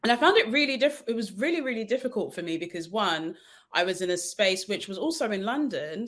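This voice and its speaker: A woman's voice: lowish voice, a bit bright. Earnest Nigerian-born woman telling her story. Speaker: guest. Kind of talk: casual discussion.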